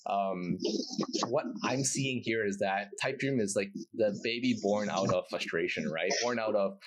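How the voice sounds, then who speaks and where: A man's voice: fairly low pitch, unhurried, low-quality recording. A man hosting a podcast interview over a video call, upbeat and chatty, often tagging lines with "right?" and "you know". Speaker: podcast host. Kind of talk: enthusiastic interview introduction.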